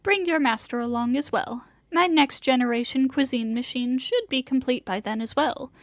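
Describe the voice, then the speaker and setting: High, lofty, refined immortal woman. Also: moderate pace, dry recording. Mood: gracious, formal. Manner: formal, lofty, old-fashioned phrasing. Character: refined immortal woman inventor, proud, formal, motherly. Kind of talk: video game voice acting.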